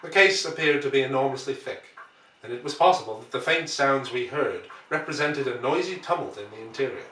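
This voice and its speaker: A man reading a classic novel aloud as dramatic narration, with measured, theatrical phrasing. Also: mid-range voice, pushed and bright, a little hiss or hum. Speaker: audiobook reader. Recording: roomy echo, distant microphone.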